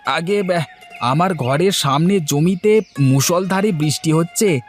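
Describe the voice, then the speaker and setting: A man's voice: on the high side, takes it slowly. A man voicing a humble, worried villager in a children's cartoon, hesitant and stammering as he answers deferentially. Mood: nervous. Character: nervous, humble villager seeking advice. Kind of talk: Bengali children's cartoon dubbing. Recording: faint music behind the voice.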